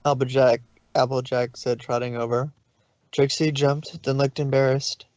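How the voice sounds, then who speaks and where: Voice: medium pitch, level tone, normal speed, darkish tone, no echo. Dry, slightly muffled story narrator.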